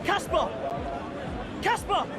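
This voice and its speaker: Joven piloto alterado, voz muy aguda. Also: male voice, very high voice, lively intonation, slow, heavily acted. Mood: shocked. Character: frustrated, hot-headed young male racing driver. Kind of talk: dramatic video game cutscene acting. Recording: very loud crowd and vehicle noise.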